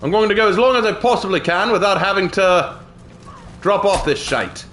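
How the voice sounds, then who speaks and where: A young British man commentates his gameplay live with a high, bright voice that leaps all over the place. He hams it up, slinging British slang and cheeky curses at his chat. A touch of soft background noise sits under him. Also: animated, normal speed. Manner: theatrical, jokey, lots of British slang and swearing. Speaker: game streamer.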